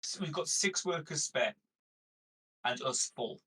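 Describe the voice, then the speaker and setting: Husky man, slightly distant from the mic. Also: fairly high pitch, lively intonation, a little slow, sharp tone. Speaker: player in a tabletop roleplaying game. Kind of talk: casual group game chat, planning aloud.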